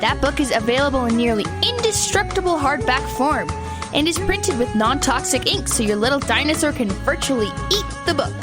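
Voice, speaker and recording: High, animated woman reading a comic ad. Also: a little fast. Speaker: commercial announcer. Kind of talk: scripted tongue-in-cheek ad read. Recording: music bed behind the voice.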